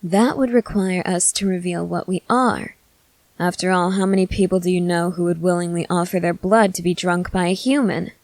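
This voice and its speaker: A woman voicing a protective, controlling century-old female vampire in measured, formal phrases for an ASMR roleplay. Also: on the low side, fairly even intonation, darkish tone, no echo, natural voice. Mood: matter-of-fact. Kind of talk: scripted ASMR audio roleplay.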